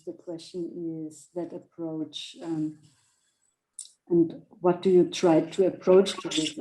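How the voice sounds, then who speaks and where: Mellow-voiced woman moderating a panel. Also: on the low side, roomy sound, a little hiss or hum, rough recording.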